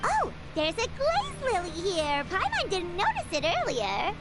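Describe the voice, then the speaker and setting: A woman's voice: very high voice, wildly swooping pitch, measured pace, loud music behind the voice, full character voice. A woman voicing a small, chatty female fairy companion in a video game, bubbly and talking about herself in the third person. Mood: cheerful. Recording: noisy game audio capture.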